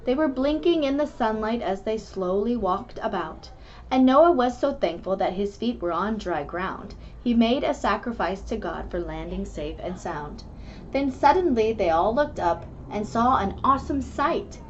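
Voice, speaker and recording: A woman's voice: fairly high pitch, expressive, normal speed. A woman reading and explaining a children's story in an earnest, instructive manner. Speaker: storybook reader and host of a kids' club. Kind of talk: rhyming picture-book read-aloud, then casual explanation to children. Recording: muffled lo-fi sound with faint background noise.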